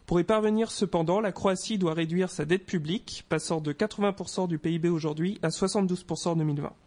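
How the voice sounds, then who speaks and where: A man with a notably high voice reads a European news roundup in a local radio studio. He keeps a scripted, steady news delivery and drops in light self-mocking asides. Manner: reads briskly, adds self-mocking apologies about pronunciation. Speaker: radio news segment presenter.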